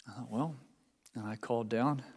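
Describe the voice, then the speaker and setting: A pastor with a Hoosier Indiana accent delivers his sermon in an earnest, down-to-home way, mixing plain preaching with folksy asides. His mid-pitched voice rings out through a church sanctuary, trailing a long reverb. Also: moderate pace, a little mellow, breathy edge.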